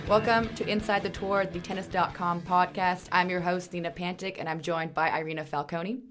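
A woman hosting a podcast, smoothly introducing a guest and asking questions. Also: lowish voice, steady tone, brisk, music in the background. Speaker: podcast host.

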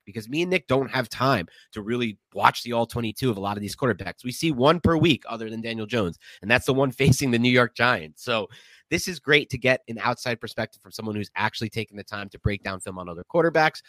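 A man's voice: medium pitch, a bit bright, no echo. A man hosting a podcast with a naturally nasal voice, made stuffier by a head cold, talking in a chatty, upbeat way. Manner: chatty, upbeat, laughs mid-sentence. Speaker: podcast host. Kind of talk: casual podcast talk.

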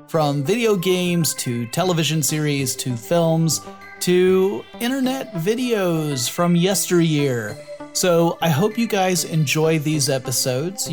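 Chatty American man hosting, higher-pitched voice. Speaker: podcast host. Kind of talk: conversational podcast hosting.

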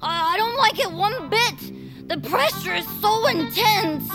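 A woman's voice: very high voice, lively intonation, slow pace, over loud music, exaggerated voice. A woman playing an anxious, reluctant game-show contestant in an audio drama, hesitant, pleading and easily exasperated. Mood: upset, pleading. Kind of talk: audio fiction acting.

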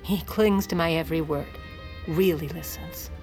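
An actress playing a sardonic, self-assured First Lady in her forties, narrating an audio drama with deadpan, haughty wit. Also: low-pitched, moderate pace, soft background music. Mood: smugly name-dropping. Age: 40s.

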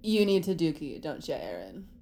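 A woman's voice: sits low, big pitch swings, normal speed, gravelly, mellow, full character voice. A woman playing a crude but good-hearted young sidekick in a comic audio drama, with a casual, blunt, slangy delivery. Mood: casual, curious.

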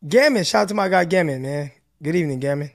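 A man with a raspy voice chatting casually as co-host of a sports podcast. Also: on the high side, expressive, fast.

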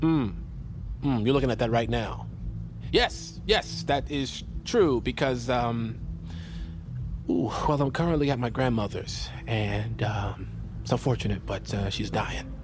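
An actor playing a shifty slacker who fibs in a hesitant, rambling, falsely sincere way, in a comedy audio drama. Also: male voice, medium pitch, unhurried, background noise, close to an everyday voice. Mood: nervous bluffing. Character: shifty, fast-fibbing young slacker dodging debt collector.

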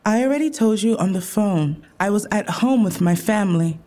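Casting: woman playing protective group therapist, mid-pitched mellow voice, terse and confrontational, naturalistic radio drama style. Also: lively intonation, normal speed, close to an everyday voice. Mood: guarded, curt. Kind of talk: naturalistic audio drama dialogue.

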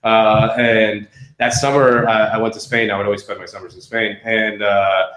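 A man in his thirties chatting casually and telling anecdotes as a podcast guest, in a halting, self-mocking way full of fillers. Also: fairly low pitch, little pitch movement, fairly bright tone. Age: 30s. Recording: roomy echo.

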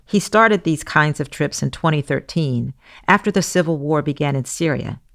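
Very low, creaky-voiced woman. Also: normal speed, a bit bright. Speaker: guest podcast host. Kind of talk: scripted narration and interviewing.

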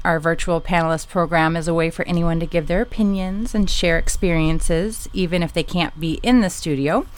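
A woman who produces a podcast, speaking in a casual, eager way with many ums. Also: fairly low pitch. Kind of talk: casual chat.

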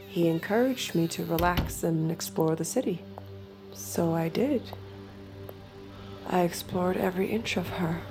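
An actress playing a guarded, detached young escort in an intimate audio drama, speaking in a cool, measured way. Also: female voice, fairly low pitch, close to an everyday voice. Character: guarded, detached young woman escort hiding her true self. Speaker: lead character and narrator. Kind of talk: intimate audio drama acting with inner narration.

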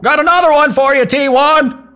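A man's voice: very high-pitched, fairly even intonation, moderate pace, bright, forceful tone, soft background music. An actor playing a nervous, dim, eager henchman in an audio drama, hesitant, stammering and deferential. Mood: jittery.

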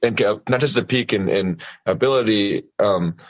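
A man's voice: fairly low pitch, moderate pace, highs cut off. A male singer talking casually and earnestly about voice care, often restarting his sentences as he gives practical advice. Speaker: singer, guest. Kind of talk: casual conversation.

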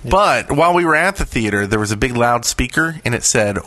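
A man's voice: medium pitch, lively intonation, brisk, sharp tone. A man co-hosting a podcast with casual, playful, joking banter. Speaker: podcast co-host.

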